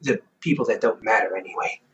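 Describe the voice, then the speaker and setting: A man playing a teasing, reassuring older brother, a scholar in his thirties, in an intimate, casual audio roleplay with halting, conversational delivery. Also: on the low side, a bit bright, lo-fi sound, natural voice. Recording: hobby microphone with faint background noise.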